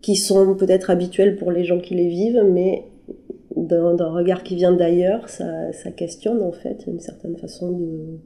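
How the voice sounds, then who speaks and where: A woman artist answering questions in a halting, conversational way, with many fillers and restarts. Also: lowish voice, level tone, gravelly, warm tone. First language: French. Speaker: interviewed artist. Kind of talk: hesitant conversational interview. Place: her apartment.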